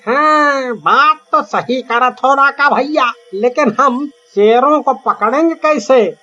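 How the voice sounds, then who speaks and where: Casting: woman as teasing young village lad, very high, sharp, muffled recording. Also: slow, heavily acted. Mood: skeptical. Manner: teasing, exclaiming, excitable. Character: cheeky, cowardly young male sidekick to a hunter. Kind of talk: Hindi animated comedy story acting.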